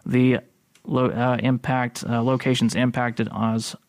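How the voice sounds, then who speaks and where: A man's voice: mid-range voice, little pitch movement, slow pace, no echo. A male radio announcer reading out official weather warnings in a methodical, matter-of-fact way. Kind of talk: read-out list of official warnings.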